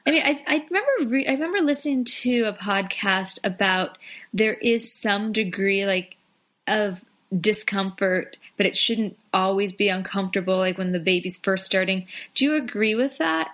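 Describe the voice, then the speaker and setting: A woman's voice: mid-range voice, expressive, normal speed, fairly bright tone. Chatty woman podcast host. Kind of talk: casual interview.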